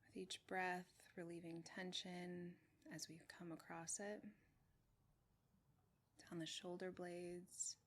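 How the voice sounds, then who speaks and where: A woman leads a guided meditation in a slightly low voice that stays very even in pitch. She speaks gently in short, measured phrases and leaves long pauses between them. There is faint noise and ambience behind her. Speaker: meditation teacher. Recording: clearly noisy with room ambience.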